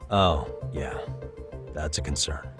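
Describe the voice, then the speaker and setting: An easygoing but sceptical detective with a low voice. He speaks casually and with measured, dry wryness. The game dialogue sits over music. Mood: concerned. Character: adult male detective, easygoing, sceptical, dryly wry. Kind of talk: English video game voice acting. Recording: music behind the voice.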